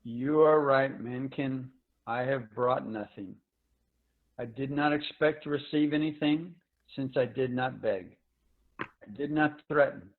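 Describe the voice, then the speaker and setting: A man plays a worn-out starship commander, somber and resigned. He speaks in measured, solemn phrases as in a staged reading. The sound is narrow and phone-like, as over a video call. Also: medium pitch. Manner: measured, solemn dramatic delivery. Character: tired space commander Lars, aged by voyage, somber. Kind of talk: dramatic virtual play reading.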